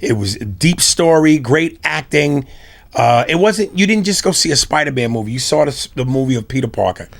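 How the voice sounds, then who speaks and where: Animated gravelly man hosting a podcast. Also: fairly high pitch, fairly quick. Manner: animated, profane, emphatic. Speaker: podcast host. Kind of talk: casual comedic chat.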